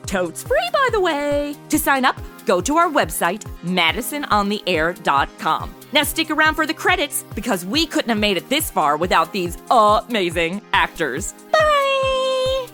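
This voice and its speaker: A naive, melodramatic young woman voiced by an actress in a comedy audio drama. Her voice is high, bright and swoops with exclamations. She is perky, flippant and slangy. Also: exaggerated voice.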